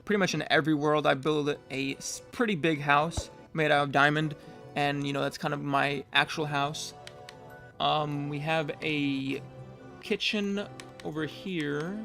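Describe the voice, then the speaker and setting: A young man casually touring his game world, rambling and correcting himself, his voice getting hoarse. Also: fairly high pitch, fairly even intonation, measured pace, sharp tone, faint music behind. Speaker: gamer giving a world tour.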